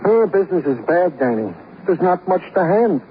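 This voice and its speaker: An actor playing an earnest, easily wounded police sergeant in a radio drama, with plaintive, florid, mock-formal phrasing. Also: male voice, sits high, big pitch swings, normal speed. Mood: glum, chatty. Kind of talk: 1950s radio drama acting. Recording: muffled, faintly noisy 1950s radio recording.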